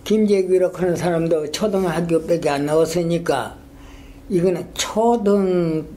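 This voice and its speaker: An older man with a strong Gyeongsang dialect, a retired school principal telling his story in a halting, rambling way in an oral history interview. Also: a little high, dark tone. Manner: halting, rambling, many fillers and restarts.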